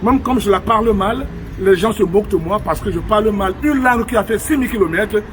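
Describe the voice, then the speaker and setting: High-voiced impassioned man. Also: a little fast, a little hiss or hum. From Cameroon. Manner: emphatic, preaching, rhetorical questions. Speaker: vlogger. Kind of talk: impassioned on-location address to viewers. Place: outdoor public square.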